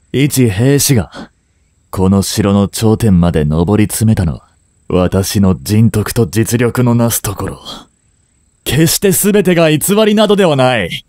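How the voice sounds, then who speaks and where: A man performs a young prince unmasked as a spy, proud and smooth-tongued. The voice is low and unhurried, recorded close and dry. The delivery is formal and theatrical, pleading as in an audio drama. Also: mellow, no room sound, exaggerated voice. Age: 20s. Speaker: amateur voice actor and voice drama creator. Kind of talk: dramatic Japanese voice drama acting.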